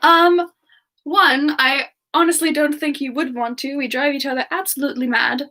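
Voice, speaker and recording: Woman playing a high-voiced, gentle demon lady. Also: fairly even intonation, moderate pace. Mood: gentle, chatty. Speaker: streamer answering viewer questions in character. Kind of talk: semi-ASMR live chat roleplay.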